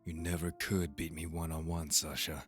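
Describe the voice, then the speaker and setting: An actor playing a scornful young monster hunter, a haughty estranged brother, in an audio roleplay drama with a sneering, deliberate delivery. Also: male voice, extremely low pitch, flat delivery, soft background music. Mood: defiant contempt.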